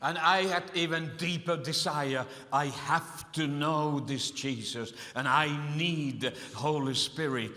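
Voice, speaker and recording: A man interpreting a sermon phrase by phrase in an earnest, emphatic manner. His voice is high and bright. A long hall echo trails each phrase. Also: a little slow, hardly any pauses. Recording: reverberant hall.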